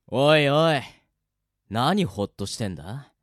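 Cocky death-game villain, swooping delivery. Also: male voice, mid-range voice, no echo, clean studio sound, heavily acted. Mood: menacing taunting. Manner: rough, taunting speech with mocking laughter. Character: cocky, cruel male death-game player, gloating and scheming. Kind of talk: Japanese radio drama acting.

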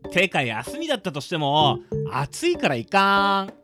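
Guy acting a loud cheeky high school kid in a radio drama, super animated, music behind. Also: a little slow, full character voice. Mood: teasing. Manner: brash, teasing. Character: boisterous, teasing high school boy.

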